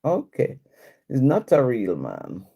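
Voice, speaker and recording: A woman's voice: extremely low pitch, huge pitch swings, slow, gravelly, warm tone, husky. A woman hosting a casual live stream, reading viewer comments aloud and answering them in a chatty way with frequent laughs.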